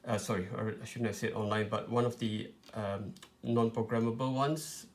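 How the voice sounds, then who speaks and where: A man presenting an informal step-by-step tutorial, explaining in a hesitant, halting way with frequent pauses. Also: on the low side, steady tone. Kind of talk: informal step-by-step product demonstration.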